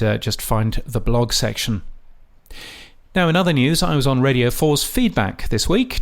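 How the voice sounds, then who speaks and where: A man with a gravelly edge to his mid-pitched voice delivers scripted podcast commentary. His delivery is measured and dry. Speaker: podcast host. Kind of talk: scripted radio-industry commentary.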